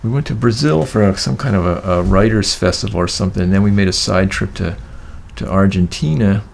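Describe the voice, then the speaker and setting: An older man with a gravelly voice, telling stories in casual conversation in a halting, rambling way. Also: low-pitched. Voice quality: gravelly, creaky voice. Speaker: guest recounting record-collecting trips.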